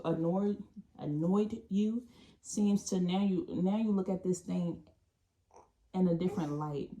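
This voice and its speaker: A woman giving an intuitive tarot reading, talking in a calm, meandering way and often restarting or repeating phrases. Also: lowish voice. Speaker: tarot reader.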